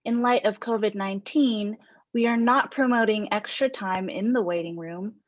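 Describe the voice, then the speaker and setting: A woman's voice: mid-range voice, moderate pace, no room sound. Woman with dry, slightly dull audio. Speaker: panelist. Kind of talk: scripted explanation.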